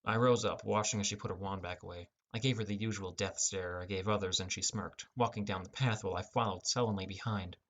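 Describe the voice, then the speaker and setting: A young man narrates a fantasy adventure in the first person. His voice sits on the low side, and he reads scripted prose as an audiobook storyteller. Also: steady tone, fast talker, few pauses, fairly bright tone.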